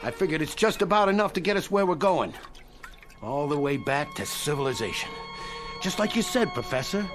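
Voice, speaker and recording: A man's voice: a little high, sing-song, a little fast, a bit bright. An actor playing a cocky, greedy, streetwise crook in a radio drama, with colloquial tough-guy talk and a mocking, needling manner. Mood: sly. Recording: music under the voice.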